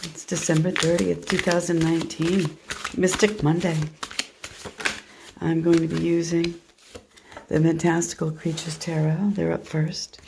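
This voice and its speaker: A hoarse woman chatting through a tarot card reading, soft and meandering as she shuffles and lays out cards. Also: low-pitched, little pitch movement, a little slow, long pauses, noisy background. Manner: soft, meandering, half to herself while handling cards. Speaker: tarot reader. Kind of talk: casual tarot reading monologue.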